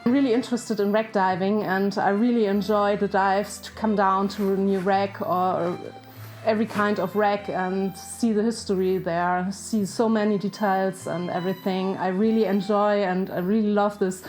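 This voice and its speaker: German woman diver, eager non-native English, mid pitch, faint music. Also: fairly even intonation, moderate pace, a little mellow. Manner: enthusiastic, simple non-native phrasing. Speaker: wreck diver. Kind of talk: interview answers.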